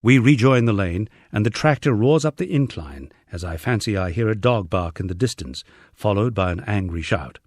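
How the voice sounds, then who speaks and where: Expressive, low-voiced man narrating an audiobook. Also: normal speed, dry recording, clean studio sound. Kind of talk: scripted first-person memoir narration.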